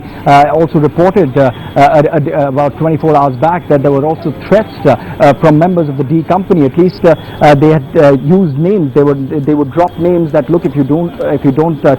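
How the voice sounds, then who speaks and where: A man with an Indian English accent reporting live from the field, repeating and stressing points in a halting way. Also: a little high, mellow, low-quality recording. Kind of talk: live unscripted news report. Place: outdoors on a street outside police premises. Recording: faint outdoor street noise.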